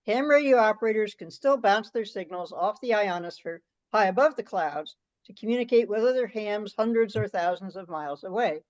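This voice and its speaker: A woman speaks at a medium pitch in an online meeting, presenting and discussing written material. The sound is a little dull, as on a conference call. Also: brisk, few pauses, darkish tone. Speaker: meeting participant presenting a draft letter. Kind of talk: reading a draft aloud and discussing it in an online meeting. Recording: slightly dull video call audio.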